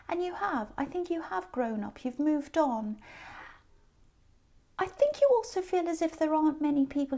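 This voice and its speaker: Woman tarot reader, high and gravelly, voice hoarse and sore, chatty and rambling. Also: darkish tone. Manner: chatty and meandering, speaking straight to the viewer. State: hoarse, sore voice from talking a lot. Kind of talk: casual tarot reading to camera.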